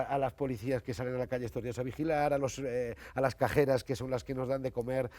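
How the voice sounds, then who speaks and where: A man's voice: medium pitch, a little mellow, no room sound. A man, a journalist guest on a panel, speaking reflectively and repeating words as he thinks. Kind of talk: panel discussion.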